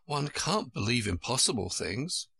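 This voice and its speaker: A polite young girl in a storybook, read by a man. The delivery is gentle and earnest, with lively intonation and a dry, close sound. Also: mid-range voice, unhurried, creaky, husky, dry recording, full character voice. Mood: doubtful. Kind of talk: storybook character reading.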